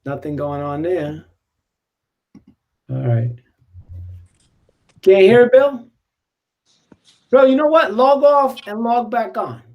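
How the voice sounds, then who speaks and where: A man with a high voice hosts a community gathering on a video call. He keeps things loose and upbeat, joking his way through a guest's audio trouble. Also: expressive, slow, pauses often, highs cut off. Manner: upbeat, joking while fixing tech trouble. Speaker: host of an online community show. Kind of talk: casual video-call hosting.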